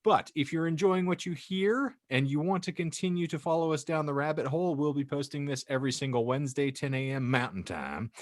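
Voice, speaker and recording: A man's voice: medium pitch, dry recording. A male podcast host whose voice leaps around as he jokes and puns. It sounds dry and close to the mic. He keeps a hammy, playful tone between bits. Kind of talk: comic podcast banter and reading.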